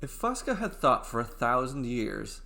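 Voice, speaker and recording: A man narrating a scripted short story as a storyteller, in a measured way with dramatic pauses. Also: mid-range voice, very animated, vocal fry, sharp tone.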